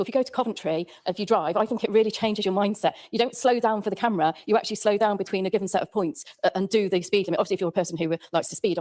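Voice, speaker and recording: A woman's voice: mid-range voice, mellow. An English woman making an earnest, emphatic speech as a councillor in a formal debate. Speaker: county councillor.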